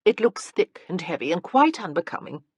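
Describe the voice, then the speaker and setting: A woman plays a fastidious aunt who criticizes appearances. Her storybook delivery is slow and lively in pitch, with a slightly husky tone. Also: no echo, clean studio sound. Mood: disapproving. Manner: disapproving.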